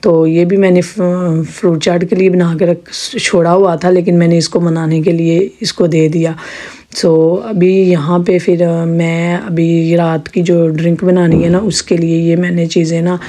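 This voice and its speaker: A woman with a sore, hoarse throat chatting in a rambling home vlog with her viewers. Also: fairly low pitch, level tone, measured pace, warm tone. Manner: chatty, rambling with many restarts. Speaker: vlogger. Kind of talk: casual home vlog narration.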